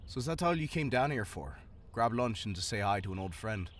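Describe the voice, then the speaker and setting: A loyal town guard, voiced by a man in a fantasy audio drama. He speaks with animated intonation and dry, respectful loyalty. A faint outdoor ambience is audible behind him. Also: lowish voice, brisk, a little hiss or hum. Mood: dry, friendly. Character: loyal, dry-humored male town guard, protective friend. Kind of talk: fantasy audio roleplay acting.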